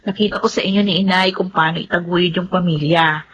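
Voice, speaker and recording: A woman playing a lively, dutiful young Filipino bride-to-be daughter with a husky voice in a radio drama. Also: fairly low pitch, brisk, dull audio. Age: young adult. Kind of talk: Tagalog radio drama acting.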